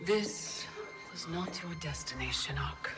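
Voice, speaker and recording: An actress voicing an ancient, scheming female timekeeper goddess in a hushed, whispery, slow and ominous way. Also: low-pitched, lively intonation, over music. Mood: menacing. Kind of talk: video game cutscene acting. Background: orchestral game score.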